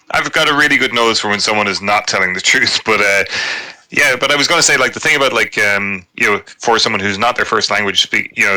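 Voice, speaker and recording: A man's voice: lowish voice, sharp tone, poor audio. A man in a casual podcast chat, explaining his point through examples and leaning heavily on fillers like "you know" and "like.". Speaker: podcast participant.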